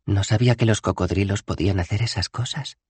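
A man's voice: lowish voice, brisk, darkish tone, slightly rough, no echo, voice pushed up. A man reading an audiobook aloud, voicing a bold, curious young woman in a raised, lighter put-on voice.

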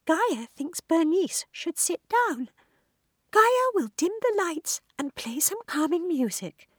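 A small household robot, voiced by a woman narrating an audiobook that talks about itself in the third person. It speaks calmly and slowly in a bright, high voice. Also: no room sound, studio quality, full character voice. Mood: soothing.

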